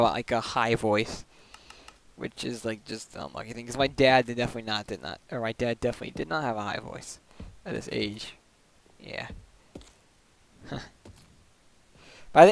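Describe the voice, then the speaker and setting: Smooth-voiced young man chatting on stream. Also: medium pitch. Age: young adult. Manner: rambling, full of "like" fillers, quick laughs. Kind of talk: casual chat while gaming. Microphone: desk microphone.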